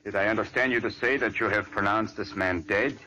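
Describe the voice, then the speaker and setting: An actor playing a cold, secretive older surgeon in 1940s radio acting style, commanding and sinister. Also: male voice, fairly low pitch, steady tone, a little fast, sharp tone, low-quality recording. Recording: vintage radio recording with faint hiss.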